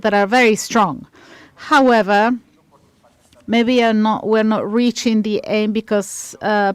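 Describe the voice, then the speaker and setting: Smooth-voiced woman interpreting a panel. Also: medium pitch, no room sound. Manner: halting, with frequent restarts while keeping up. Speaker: simultaneous interpreter. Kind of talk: live interpretation of a conference panel.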